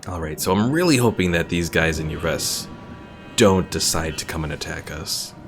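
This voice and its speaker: Low, gravelly male game streamer. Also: normal speed, faint music behind. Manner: chatty, thinking aloud, explains mechanics. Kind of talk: casual live gameplay commentary.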